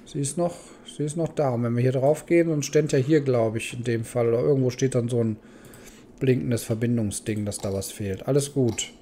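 A man's voice: medium pitch, fairly quick, warm tone, a little hiss or hum. A man giving casual let's play commentary, thinking aloud and muttering questions to himself. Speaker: gaming host.

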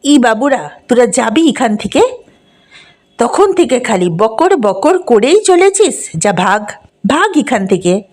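A woman's voice: on the high side, sing-song, takes it slowly, heavily acted. Woman doing a fierce grief-crazed village mother. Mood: angry. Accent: rural western Bengal dialect. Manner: shouting, desperate.

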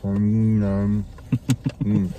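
A man in his forties hosting a casual food review from a parked car, loud, excitable and jokey. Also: lowish voice, drawn-out words, dull audio, slight background noise. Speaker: radio host.

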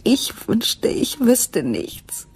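A woman playing a troubled woman searching for the truth in a German radio crime drama, with a breathy, husky voice. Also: on the high side, sing-song, extremely slow, darkish tone, heavily acted. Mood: anguished, whispering.

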